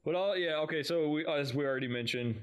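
A man's voice: fairly high pitch, fast talker, clean tone. A man co-hosting a fan podcast in casual conversation.

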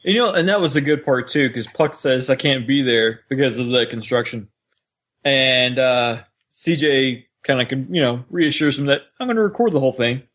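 Man chatting casually in a mid-pitched voice. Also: moderate pace, fairly bright tone. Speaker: co-host of a fan podcast. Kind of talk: casual chat about an audio drama.

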